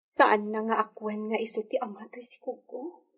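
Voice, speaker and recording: A woman's voice: medium pitch, steady tone, moderate pace, a bit bright, breathy edge. An actress with an Ilocano accent playing a weary, insecure expectant young lady of a hacienda in a radio drama, plaintive and fragile. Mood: weary, doubtful. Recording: music bed under the voice.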